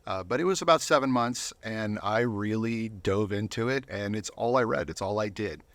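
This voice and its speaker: A man's voice: on the low side, lively intonation, moderate pace, a bit bright, no echo. A man speaking as a guest in a casual studio interview, earnest and reflective, sometimes repeating words as he goes. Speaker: interview guest, volunteer Bible reader.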